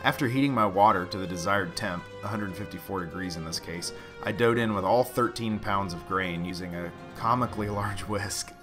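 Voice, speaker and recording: Male host, low voice, casual and playfully dry delivery, music behind. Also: normal speed. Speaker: host, home brewer. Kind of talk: casual scripted narration and relaxed tasting chat.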